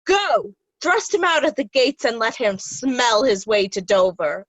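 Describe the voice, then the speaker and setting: A woman's voice: a little high, big pitch swings, measured pace, a bit bright, no room sound, exaggerated voice. A woman playing a vicious, haughty noblewoman in a readers' theatre reading of Shakespeare. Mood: scornful. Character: vicious, haughty noblewoman Regan, cold and scornful.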